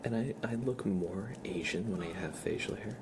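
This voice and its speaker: A man livestreaming ASMR, soft-spoken and playful, slipping between a murmur and a whisper as he chats and roleplays. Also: fairly low pitch, moderate pace, creaky, noisy background. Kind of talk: ASMR live chat with roleplay, partly whispered. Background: scissor sounds.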